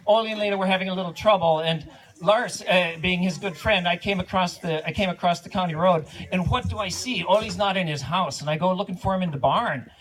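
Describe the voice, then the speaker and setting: A man's voice: high-pitched, a little fast, fairly bright tone, low-quality recording. A man playing Lars, a folksy Norwegian-American from North Dakota, telling marriage jokes with an Upper Midwest accent. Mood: deadpan. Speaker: wedding entertainer. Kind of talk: comic duo routine at a wedding.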